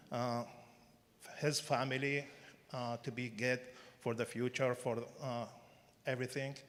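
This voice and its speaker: A man in his fifties from Iraq, speaking halting remarks at a citizenship ceremony with many fillers and careful phrasing, recorded on a handheld mic in a large hall. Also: medium pitch.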